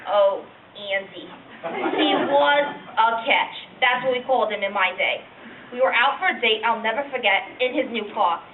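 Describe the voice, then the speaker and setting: A woman playing an embarrassed, wistful woman of seventy-two in a comic stage monologue, halting and repeating herself. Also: on the high side, reverberant, close to an everyday voice. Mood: coy, excited. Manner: flustered, halting, repeating phrases for effect. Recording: muffled distant stage recording, noisy laughing audience.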